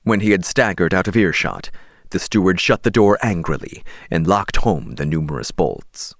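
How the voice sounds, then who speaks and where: A man narrating an audiobook as scripted dramatic storytelling, measured and expressive. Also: low-pitched, creaky, dry recording, clean studio sound.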